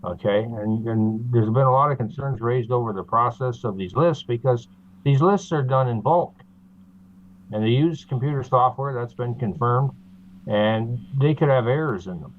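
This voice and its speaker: Guest voice: Canadian-raised man over a thin, phone-like remote call, plain mid pitch, measured explaining with many "uh"s. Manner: steady, explaining, many uh fillers. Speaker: interview guest. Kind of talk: explanatory interview talk. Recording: tinny phone-like line.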